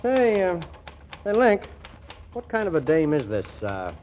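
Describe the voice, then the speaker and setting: A man's voice: high-pitched, wildly swooping pitch, measured pace, exaggerated voice. An actor playing a brash, girl-chasing American writer pal in a 1940s radio comedy. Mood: slyly angling. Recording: muffled, faintly noisy 1940s radio recording.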